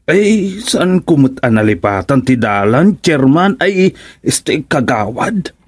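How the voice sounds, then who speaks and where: Male actor as flustered Filipino village official, radio comedy; hesitant, husky, slow delivery. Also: medium pitch, big pitch swings, dry recording, studio quality, full character voice. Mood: nervous, apologetic. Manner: stammering, hesitant, exclamatory. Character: flustered, henpecked village councilman, excuse-making and comic. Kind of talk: comedic radio drama acting.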